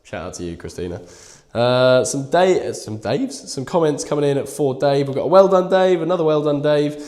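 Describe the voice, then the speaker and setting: A croaky, bunged-up man hosting a live prize draw in chatty, casual talk. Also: a little high, animated, fairly quick, a little mellow, reverberant. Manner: chatty, reads numbers aloud. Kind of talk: casual live-stream chat.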